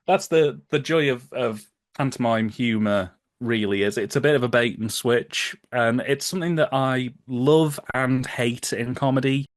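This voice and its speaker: A man in casual conversational commentary, telling anecdotes in an amused, animated way. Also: medium pitch, unhurried, hardly any pauses, no room sound, studio quality. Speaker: podcast guest.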